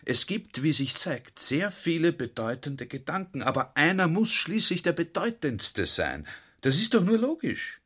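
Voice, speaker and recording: A man's voice: fairly high pitch, big pitch swings, a little slow, fairly bright tone, husky, no room sound, clean studio sound, heavily acted. A man playing a garrulous, earnest, naively sly military general, confiding his anecdotes in a radio play monologue. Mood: earnest, excited. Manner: confiding, anecdotal, addressing a listener directly.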